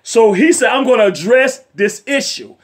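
A middle-aged male evangelist with a raspy voice, preaching fervently from a chair in his living room and sprinkling in amens and hallelujahs. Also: extremely high pitch, animated, moderate pace. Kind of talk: home sermon and Bible study. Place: home living room, seated in a leather chair.

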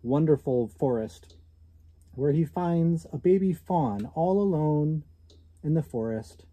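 An American man in his thirties reading a picture book aloud to children, commenting and asking questions with drawn-out emphasis on key words. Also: fairly high pitch, expressive, dark tone, dry recording. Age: 30s. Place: small indoor room at home.

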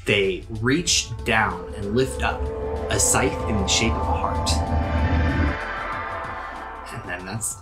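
A man's voice: fairly low pitch, slow pace, long pauses, over loud music. A man acting as game master, narrating scenes of a tabletop role-playing game and chatting casually with players. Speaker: game master and podcast host.

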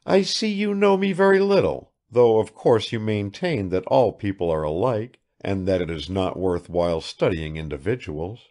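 A man's voice: fairly low pitch, expressive, normal speed, few pauses, darkish tone. A man voicing a proud, world-weary young aristocratic woman in a languid, searching manner for an audiobook. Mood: challenging, curious. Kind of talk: dramatised literary audiobook dialogue.

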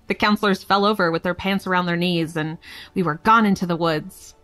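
An actress plays a playful, defiant young woman spinning a story in an audio drama. Her voice is on the low side, frank and conversational, with music underneath. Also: fairly even intonation, moderate pace, a bit bright, close to an everyday voice. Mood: defiant, excited. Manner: frank, wry, casual.

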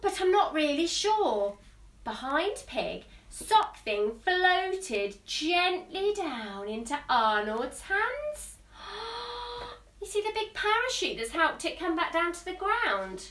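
Animated high-pitched woman reading to children. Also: slow. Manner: expressive, sing-song, addressing young listeners. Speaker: teacher reading a storybook to children. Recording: roomy echo, distant microphone.

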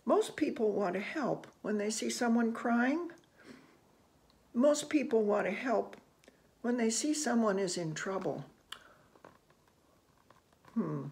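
An older person reading a picture book aloud to children, gently and unhurriedly, with musing asides. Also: medium pitch, animated, gravelly. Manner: gentle, unhurried, musing with soft hmms and ohs. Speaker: children's read-aloud host. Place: small room indoors at home.

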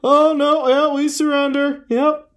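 A woman voicing an excitable, naive and anxious young boy in an improvised comedy cartoon fandub. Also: sits high, a little slow. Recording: music behind the voice.

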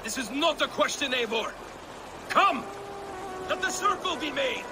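An actor playing a defiant, aggrieved Viking warrior in a video game, with forceful, declamatory delivery. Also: male voice, very high-pitched, lively intonation, measured pace, heavy background noise. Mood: furious challenge. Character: defiant, aggrieved male Viking warrior challenging his leader. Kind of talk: dramatic video game cutscene acting.

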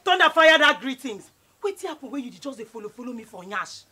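An actress playing a loud, scolding young woman with a Nigerian accent. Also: on the high side, animated, racing, husky. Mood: angry. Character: fiery young woman named Lucy, quarrelsome.

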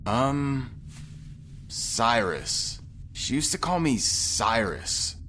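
A wounded young male clone in a sci-fi audio drama. He sounds dazed and cryptic, with a medium-pitched voice and an incantatory, halting delivery. Faint ambient noise sits behind him. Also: very animated, pushed and bright, exaggerated voice. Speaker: actor in a science fiction audio drama.

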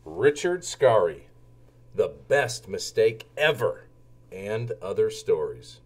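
A man narrating a children's storybook aloud in a deliberate, expressive way made for young listeners. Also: lowish voice.

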